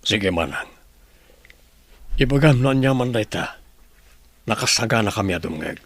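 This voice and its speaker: A stern, middle-aged male doctor, acted by a man in a dramatic audio story. His voice is husky with a gravelly creak, and he questions firmly. The sound is dry and close. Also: on the low side, lively intonation, normal speed, a bit bright, dry recording, full character voice. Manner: questioning, firm.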